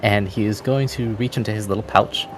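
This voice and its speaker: A man's voice: fairly low pitch, fairly even intonation, brisk, background noise. A man acting as game master, narrating scenes descriptively for a tabletop game. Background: background music.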